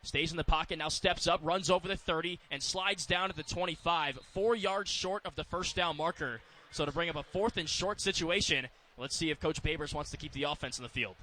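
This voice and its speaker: A man's voice: on the high side, a little fast, pushed and bright. A man with an American accent giving live radio play-by-play of American football in clipped, descriptive phrases.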